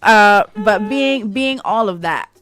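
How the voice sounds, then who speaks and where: A woman's voice: mid-range voice, lively intonation, fairly bright tone, faint music behind. Thoughtful woman radio host. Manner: thoughtful, slow, drawn-out questions. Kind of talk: radio interview.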